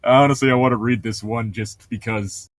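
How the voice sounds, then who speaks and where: A man's voice: mid-range voice, steady tone, normal speed, dry recording, studio quality. A man reading aloud casually and breaking off for sarcastic, sweary commentary as co-host of a comedic read-along.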